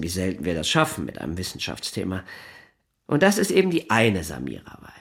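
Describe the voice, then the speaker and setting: An actor playing a talkative, flustered newspaper editor in a radio drama, condescending yet well-meaning, rambling and interrupting himself. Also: male voice, medium pitch, gravelly. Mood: proud, insistent. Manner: rambling, halting, self-interrupting. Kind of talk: naturalistic German radio drama dialogue.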